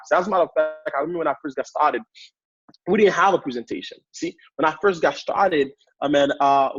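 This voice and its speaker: A man in his twenties with a Haitian accent, giving an energetic motivational talk as a guest speaker on a sales training call. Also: a little high, husky, no echo, dull audio. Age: 20s. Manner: hyped up, energetic, repetitive.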